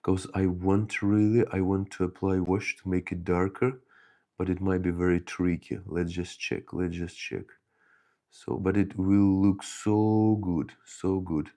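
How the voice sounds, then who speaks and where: A deep, gravelly man with a Ukrainian accent talks slowly and softly as he paints, thinking aloud in a halting way. His mellow voice drifts through a relaxed hobby stream. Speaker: miniature painting channel host. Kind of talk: casual live painting chat.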